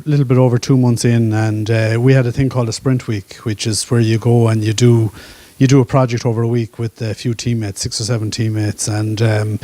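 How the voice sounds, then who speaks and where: A man in his thirties talks about his studies at a university event. His voice is dark and mellow and stays fairly level, with many fillers along the way. The sound is dry and close. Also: mid-range voice, no room sound. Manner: relaxed, hesitant, many fillers. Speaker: current master's student. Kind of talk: panel testimonial.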